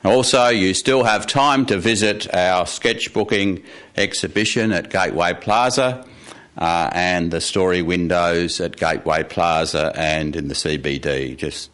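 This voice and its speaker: Low-voiced male festival host. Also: measured pace, a bit bright. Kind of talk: informal spoken announcements. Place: radio studio before a live audience.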